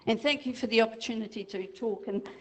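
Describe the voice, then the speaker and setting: A woman's voice: fairly high pitch, fast. Female resident, New Zealand accent, earnest, number-driven. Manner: earnest, argues with figures. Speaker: resident speaking at public meeting. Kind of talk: public meeting question.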